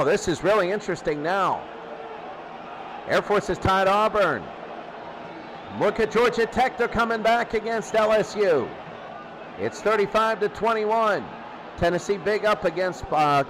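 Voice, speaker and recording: A man giving informal, excitable play-by-play football commentary in short, repeated phrases. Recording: noisy, steady background rumble.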